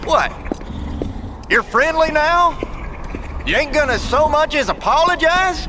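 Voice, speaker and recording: An actor playing a gruff, hot-tempered American truck owner in an audio drama, talking in a folksy, indignant way. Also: male voice, very high voice, animated, a little slow, bright, forceful tone, full character voice. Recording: clear background noise, vehicle ambience.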